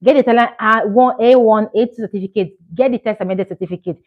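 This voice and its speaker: A woman's voice: on the high side, fast talker, phone-quality sound. Earnest Nigerian woman vlogger. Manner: earnest, encouraging, repeats herself for emphasis. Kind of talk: casual chat to camera.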